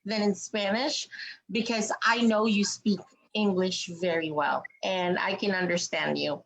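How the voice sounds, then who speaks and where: A woman from Canada hosts an interview in a chatty, rambling way, with frequent ums and restarts. Her voice sits at a middle pitch, and she speaks at an easy conversational pace. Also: steady tone, measured pace, vocal fry, fairly bright tone, rough recording. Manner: chatty, with many fillers and restarts. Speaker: interviewer, host. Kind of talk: online interview.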